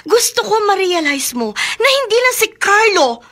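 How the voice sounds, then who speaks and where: A woman's voice: very high voice, sing-song, normal speed, sharp tone, exaggerated voice. A woman acting in a Filipino radio romance drama as a lovelorn young woman, pleading and emotionally intense. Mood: hurt, reproachful. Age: young adult. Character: lovelorn young woman secretly in love with her best friend.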